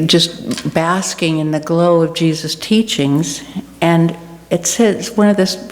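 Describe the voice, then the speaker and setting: A woman with a slightly low voice delivers a sermon at a very unhurried pace. She sounds earnest and deliberate. The recording has the long echo of a hall and noticeable background noise. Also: darkish tone. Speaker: guest speaker giving a church reflection. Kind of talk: personal sermon reflection to a congregation.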